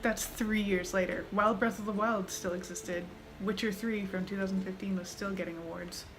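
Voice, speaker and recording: A woman's voice: medium pitch, steady tone, moderate pace, rough recording. A woman giving casual commentary as she plays through a video game. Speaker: gamer host. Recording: game music behind commentary. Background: game music.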